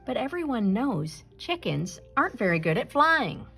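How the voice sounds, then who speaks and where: A woman reading a children's story aloud with expressive narration. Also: fairly high pitch, normal speed, creaky, soft background music. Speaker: storybook reader.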